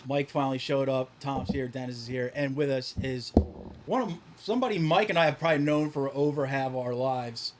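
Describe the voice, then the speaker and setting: A man's voice: medium pitch, fairly quick, noisy background. A man in his mid forties hosting a podcast, joking crudely and mocking himself. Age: 40s. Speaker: podcast host. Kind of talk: casual chat.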